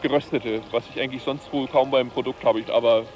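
A man's voice: mid-range voice, fairly even intonation, fast, muffled recording, slight background noise. A man chatting casually and tentatively, groping for words as he describes things. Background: clear music.

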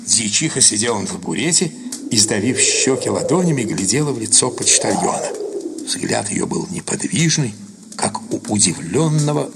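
A man narrating a literary short story for radio drama in a measured, expressive storytelling manner. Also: medium pitch, creaky, noisy background. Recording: loud music under the narration.

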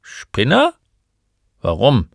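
A gruff old storybook shopkeeper, performed by a man, who fires off blunt, mocking questions. The voice is forceful and swings upward from a grumble, recorded very dry. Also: medium pitch, sing-song, moderate pace, no room sound, voice pushed up. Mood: probing. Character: gruff, mocking old male bookshop keeper. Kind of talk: storybook character voice.

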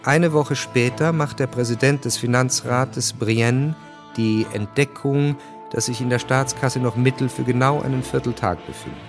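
A man's voice: medium pitch, normal speed, music in the background. A man narrating a literary radio drama from a script, precise and matter-of-fact.

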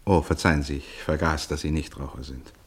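An actor playing a polite, hesitant clinic chief in a radio play. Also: male voice, extremely low pitch, steady tone, brisk, husky. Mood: courteous. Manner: courteous, hesitant. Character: older male clinic chief, polite, evasive. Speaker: professor, head of a clinic.

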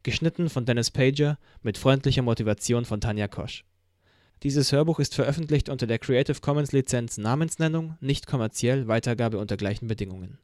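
A man's voice: medium pitch, brisk, darkish tone, no echo, clean studio sound. A man acting as announcer, reading out the scripted credits of an audio drama.

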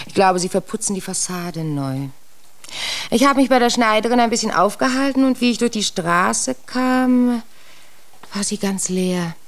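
A woman acting an anxious, shaken widow in a German radio drama, speaking in a hesitant, halting, brooding manner. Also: mid-range voice. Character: anxious, shaken recent widow recounting narrow escapes.

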